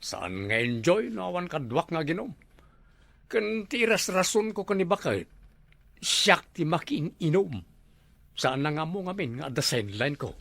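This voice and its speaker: Creaky, animated Ilocano drinker husband, acted. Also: male voice, on the high side, measured pace, dry recording, exaggerated voice. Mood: self-justifying. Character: easygoing hard-drinking husband, full of excuses. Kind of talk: Ilocano comedy drama acting.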